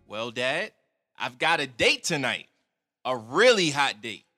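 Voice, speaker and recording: An actor playing an eager, cocky 22-year-old son who talks in an upbeat, defiant way. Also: male voice, mid-range voice, big pitch swings, measured pace, bright, forceful tone, faint music behind, full character voice. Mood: excited. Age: 20s.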